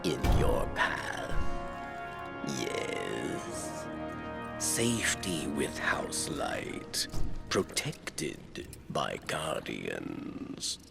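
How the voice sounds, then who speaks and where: Old alien scribe, video game. Extremely low, gravelly, cryptic, deliberate broken phrasing over loud music. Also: male voice, huge pitch swings, full character voice. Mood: reassuring, insistent. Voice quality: gravelly, croaking. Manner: clipped broken syntax, deliberate drawn-out phrases. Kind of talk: dramatic video game dialogue.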